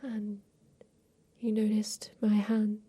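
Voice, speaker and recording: Woman acting tender creator in slow, breathy whisper. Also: mid-range voice, monotone. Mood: grave, reassuring. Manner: slow, gentle, soothing, with pauses. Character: tender, ailing female creator tending her mechanical creature. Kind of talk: whispered ASMR roleplay.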